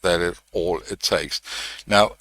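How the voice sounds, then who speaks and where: A man's voice: low voice, steady tone, takes it slowly, vocal fry, pushed and bright. A man hosting an electronics tutorial, talking casually through his project with frequent fillers and restarts. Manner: thinking aloud, frequent uh and restarts. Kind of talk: casual technical walkthrough. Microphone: desk microphone, switched on and off.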